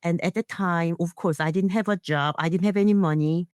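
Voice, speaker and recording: A woman's voice: fairly low pitch, a little fast, darkish tone, smooth, no room sound, clean studio sound. A middle-aged woman, an English speaker, giving an earnest personal account as an interview guest. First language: not English. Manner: earnest, non-native phrasing. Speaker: guest, internationally trained nurse and leader.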